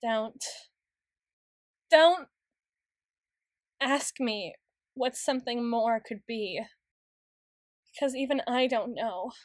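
A woman voicing a flustered, self-doubting, bookish young tsundere in a halting ASMR roleplay monologue. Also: a little high, no echo, studio quality. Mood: agitated, vulnerable. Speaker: audio roleplay performer.

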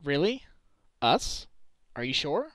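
A man voicing a level-headed, wry defense lawyer with a dry, measured delivery in a game fan dub. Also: on the high side, very animated, fairly bright tone, full character voice. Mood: curious.

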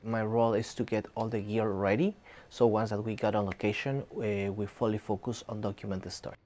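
A man's voice: fairly low pitch, level tone, fairly quick, darkish tone. A young man from Ecuador, a native Spanish speaker with an Ecuadorian accent, talking in a documentary interview as a student video producer. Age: young adult. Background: faint music.

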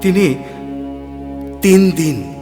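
A man narrating a horror story with theatrical, deliberate phrasing and dramatic pauses. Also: high-pitched, sing-song. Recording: long hall reverb, music underneath.

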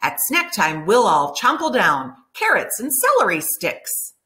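A middle-aged woman reads a children's picture book aloud like a teacher, animated and clearly enunciated for young listeners. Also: mid-range voice, measured pace, soft background music. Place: room at home by a window, indoors.

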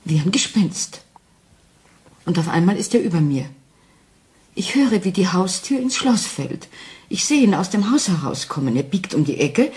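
An actress playing a frightened wife who doubts her sanity, speaking anxiously and haltingly in a German crime radio play. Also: fairly low pitch, big pitch swings, darkish tone. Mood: haunted, fearful. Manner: anxious, halting, intense, dropping into whispers.

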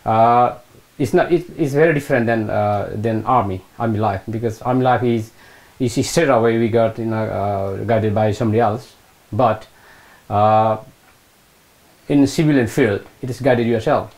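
A man's voice: lowish voice, normal speed, a little mellow. A middle-aged man from eastern Nepal with a Nepali accent, recalling his life hesitantly in an interview, often repeating phrases. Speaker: interviewee, retired British Army Gurkha. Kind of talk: oral history interview, personal recollection. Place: small room indoors, seated on a sofa. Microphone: lapel mic.

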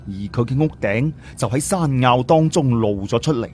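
A man's voice: medium pitch, moderate pace, darkish tone, slight background noise. A man narrating a story in a dramatic audiobook style.

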